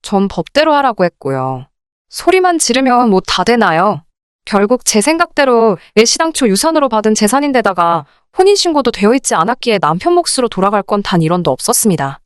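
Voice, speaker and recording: Expressive woman narrator, smooth and lively. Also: fairly high pitch, brisk, no room sound, clean studio sound. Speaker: narrator of dramatized listener stories. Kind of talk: scripted first-person story narration, radio drama style.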